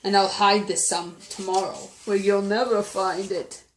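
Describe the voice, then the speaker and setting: A woman's voice: medium pitch, fairly even intonation, measured pace, slight background noise, close to an everyday voice. A woman voicing a mean male eagle from a storybook, curt and sneering. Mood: sneering, defiant. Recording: echoey room.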